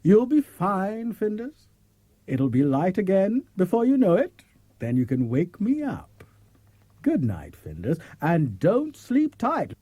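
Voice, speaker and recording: A man's voice: high voice, wildly swooping pitch, takes it slowly, mellow, full character voice. A man playing a kindly, fretful older farmer in an audiobook, coaxing and persuading. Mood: reassuring. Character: kindly, worried older male farmer coaxing his cat. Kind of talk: audiobook character acting. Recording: cassette transfer with faint music.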